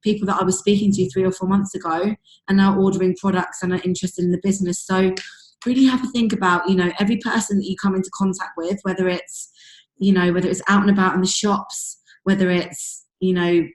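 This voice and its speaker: A woman with a husky, fading voice, coaching her sales team on a call in a chatty way full of fillers. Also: medium pitch, level tone, quick pace. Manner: chatty, many fillers like "um" and "you know". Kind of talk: casual motivational coaching talk. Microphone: video call. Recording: echoey room over a video call.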